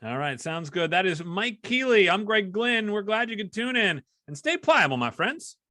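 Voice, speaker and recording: American man, forceful bright voice, close dry sound, conversational, encouraging podcast hosting. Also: high voice, sing-song, moderate pace, no echo. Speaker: podcast host, sports broadcaster.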